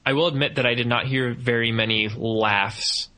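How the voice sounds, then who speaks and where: Bright-voiced man reviewing films. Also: medium pitch, fairly even intonation, moderate pace. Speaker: podcast co-host reviewing a film. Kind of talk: casual opinionated review discussion.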